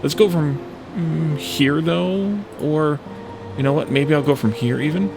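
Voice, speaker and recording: Gravelly-voiced man narrating his gameplay. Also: a little high, a little slow, noisy background. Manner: thinking aloud, musing to himself. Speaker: let's play host. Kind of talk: casual gameplay commentary.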